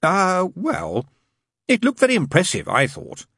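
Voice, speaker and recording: A man's voice: high voice, wildly swooping pitch, measured pace, fairly bright tone, husky, dry recording, studio quality, voice pushed up, heavily acted. A man voicing a fussy, anxious male angel who talks in a prim, hesitant, apologetic way. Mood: flustered.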